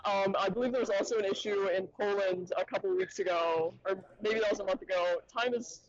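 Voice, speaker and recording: High-voiced young man, thoughtful and academic. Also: fairly even intonation, brisk. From Little Rock, Arkansas, USA. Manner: thoughtful, academic, many filler ums and uhs. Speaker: podcast guest. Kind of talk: casual interview talk. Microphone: remote call from a conference. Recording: dull lo-fi sound, dry.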